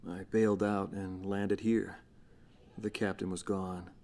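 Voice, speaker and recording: A man's voice: sits low, little pitch movement, unhurried, darkish tone. A man playing a haunted former fighter pilot, speaking in a sombre, reflective way in a game interview. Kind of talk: documentary-style interview monologue.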